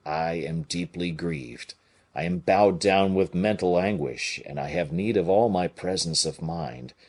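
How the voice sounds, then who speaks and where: A man reading an audiobook, voicing a grave, measured conspirator bishop who speaks in a solemn, placating way. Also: sits low, exaggerated voice. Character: Aramis, grave, smooth-talking conspiring bishop.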